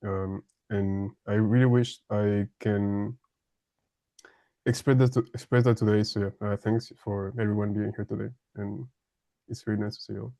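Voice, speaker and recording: A man in his twenties from France introducing himself in a casual video meeting, talking haltingly with many fillers and small chuckles. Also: lowish voice, flat delivery, gravelly, warm tone, no room sound. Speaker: embedded systems engineer introducing himself.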